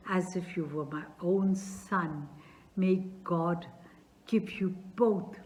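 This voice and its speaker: A woman reading aloud as an effusive, fussy older male landowner who showers his guest with endearments. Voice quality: gushing, loud and high-pitched endearments.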